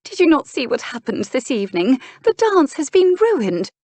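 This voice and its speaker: A woman's voice: high voice, very animated, moderate pace, dark tone, dry recording, voice pushed up. A woman narrator performs an overwrought, scornful duchess from a historical romance. Her voice sits raised and emphatic, full of indignant drama, in a dry, close recording. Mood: indignant, distressed. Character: haughty, overwrought duchess, indignant mother of a grown son. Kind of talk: historical romance audiobook character.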